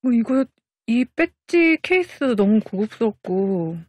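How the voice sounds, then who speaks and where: A woman's voice: fairly high pitch, sing-song, measured pace, a little mellow, no echo. Middle-aged female co-host, raised register, dry recording, informal reactive delivery. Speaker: talk show co-host. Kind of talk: casual reactive chat.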